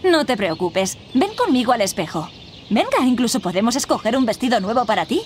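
A kindly magical fairy guide from a video game. Her voice is high and very animated, encouraging and instructive as she explains things. There is clear noise behind her. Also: brisk. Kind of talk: scripted game tutorial dialogue.

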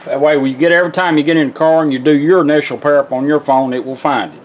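A man's voice: on the high side, quick pace, highs cut off, slight background noise. A man giving a casual, folksy, step-by-step product demonstration in an informal way. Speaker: vintage car radio restorer demonstrating. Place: indoor workshop bench.

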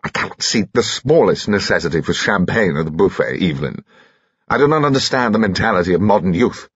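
A man's voice: deep voice, animated, brisk, breathy edge, no room sound, lo-fi sound, exaggerated voice. A man playing a pompous soldierly husband. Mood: disapproving. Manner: pompous, disapproving. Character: tall, pompous, soldierly middle-aged husband.

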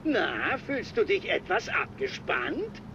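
An actor playing a gleeful, menacing sick clown villain in a dubbed video game, with gravelly cackling and a mocking, theatrical delivery. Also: male voice, high-pitched, huge pitch swings, slow pace, highs cut off, noisy background, full character voice. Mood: taunting. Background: music.

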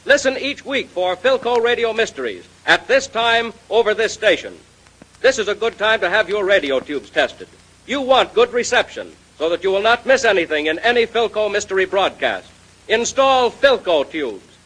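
A male announcer delivers a scripted 1930s radio pitch. His voice is high and husky, with very lively inflection and an urging, emphatic delivery. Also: poor audio.

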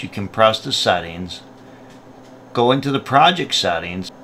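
A man's voice: on the low side, a bit bright, a little hiss or hum. A man presenting a product tutorial, explaining each step in a plain, methodical way. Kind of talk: step-by-step instructional narration.

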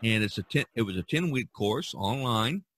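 A man's voice: fairly low pitch, expressive, normal speed, fairly bright tone, a little hiss or hum. An American man hosting a podcast, talking in an upbeat, emphatic and enthusiastic way. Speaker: podcast host.

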